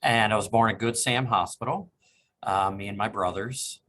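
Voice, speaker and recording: Older man in his sixties, low voice, polite and reflective, oral history interviewee. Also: normal speed, bright, forceful tone, a little hiss or hum. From Cincinnati, Ohio. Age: 60s. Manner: polite, reflective, with frequent ums. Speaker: interviewee, reference librarian.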